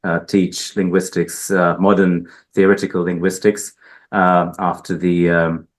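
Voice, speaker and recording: Deep-voiced hesitant man. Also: little pitch movement, measured pace. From Hungary. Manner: hesitant, many fillers and restarts. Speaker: linguist guest. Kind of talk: interview answers. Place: research institute building.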